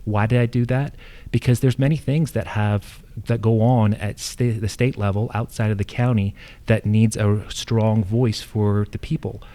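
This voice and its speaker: A man with an American accent answering as a candidate at a forum, speaking earnestly and emphatically with halting phrasing. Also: on the low side, fairly even intonation, mellow. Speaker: incumbent county assessor candidate.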